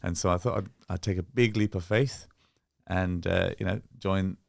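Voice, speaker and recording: A middle-aged man speaks as an interview guest, calm and friendly, telling his story at an even pace. His voice sits very low and carries a strong gravelly fry. The room sound is dry and close. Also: normal speed, no echo. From born in Bangladesh, raised in England. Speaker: guest, sports science professor. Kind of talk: relaxed interview conversation.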